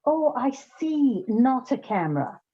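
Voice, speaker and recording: An actress playing a brisk, wry woman who runs an odd job agency in an old-time radio comedy. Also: high-pitched, very animated, slightly rough, exaggerated voice. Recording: slightly dull, muffled sound.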